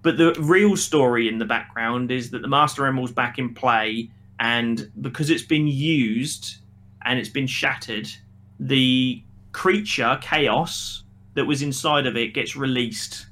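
Man, bright forceful voice, casual review chat, emphatic, argumentative, opinionated. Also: mid-range voice, measured pace. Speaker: podcast co-host reviewing a film.

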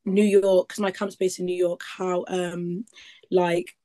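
A woman's voice: lowish voice, level tone, takes it slowly, warm tone. A woman, chatting as a guest in a rambling, casual way full of likes and ums. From East Midlands, England. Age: 20s. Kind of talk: casual interview chat.

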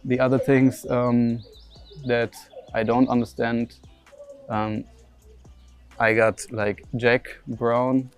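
Young man, flat voice, hesitant. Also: mid-range voice, slow pace, long pauses, faint music behind. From Germany. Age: young adult. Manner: hesitant, lots of fillers and restarts. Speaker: interviewee, young footballer. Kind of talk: casual interview answers.

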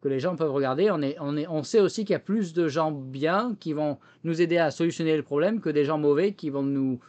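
Man, slightly high voice, French accent with faint American tinge, interview guest, halting and repetitive phrasing. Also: darkish tone. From France. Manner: hesitant word-searching with repeated words. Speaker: technology entrepreneur and former government official, interview guest. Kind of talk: explanatory interview answers.

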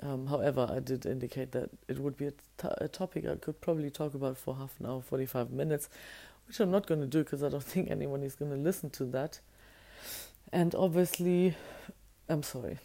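امرأة بصوت عميق مبحوح وخشن. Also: female voice, a little fast, creaky, warm tone. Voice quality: naturally deep voice. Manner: reflective, musing aloud with searching restarts. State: hoarse, voice strained from singing. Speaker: podcast host. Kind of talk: casual solo podcast monologue.

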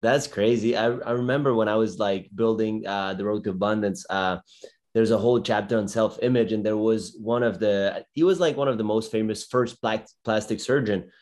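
Rambling man hosting a podcast, low voice. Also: normal speed, a little mellow. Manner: loose, rambling, heavy use of "like". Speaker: podcast host. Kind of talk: casual interview hosting.

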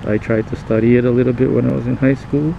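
A man's voice: mid-range voice, fairly even intonation, gravelly, highs cut off. Man musing on a motorbike. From Philippines. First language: Tagalog. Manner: rambling, halting, reflective, many fillers and restarts. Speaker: podcast host. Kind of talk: casual spoken monologue while riding. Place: on a motorcycle in city traffic, outdoors. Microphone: helmet-mounted mic. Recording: loud road and traffic noise.

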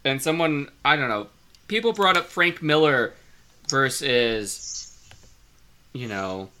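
A man's voice: medium pitch, lively intonation, slow, bright, forceful tone. A man with a Midwestern American accent, chatting casually as a podcast co-host and telling wry, rambling anecdotes. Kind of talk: casual chat.

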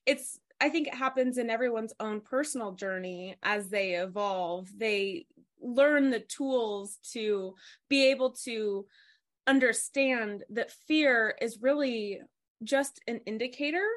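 A woman hosts a relaxed interview. Her voice sits a little higher than most, and she sounds eager and quick to laugh as she responds to her guest. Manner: enthusiastic, laughs easily. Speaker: podcast host. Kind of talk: casual interview chat.

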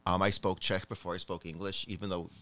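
A man's voice: low-pitched, little pitch movement, fast talker, a bit bright. A man being interviewed as a guest, self-deprecating and laughing easily.

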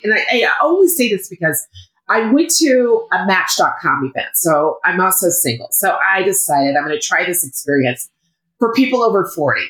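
A woman in her fifties, a guest in an interview, talking loudly and with enthusiasm. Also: on the low side, big pitch swings, normal speed, echoey room, poor audio. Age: 50s. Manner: loud, emphatic, enthusiastic. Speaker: guest, founder of a women's community. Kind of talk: conversational interview.

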